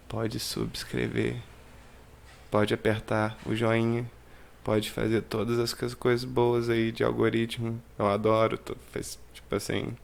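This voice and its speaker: A man's voice: fairly low pitch, creaky. A man who teaches English, hosting a casual podcast interview in a playful, joking way and switching between Portuguese and English.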